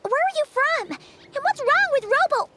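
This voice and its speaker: A woman voicing a small, excitable, curious fairy companion in a video game, with a squeaky childlike voice. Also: moderate pace, very noisy.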